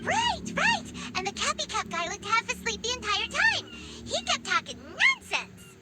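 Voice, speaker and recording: Tiny childlike fairy sidekick for a game: squeaky-high, eager, wildly animated. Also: female voice, measured pace, loud music behind the voice, heavily acted. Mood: excited, eager. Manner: exclamatory, eager, talks in third person. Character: tiny excitable childlike fairy companion girl. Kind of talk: video game voice acting.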